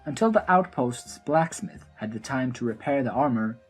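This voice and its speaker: A woman's voice: a bass voice, expressive, normal speed, soft background music. A woman narrating a scripted fantasy story for an audio drama.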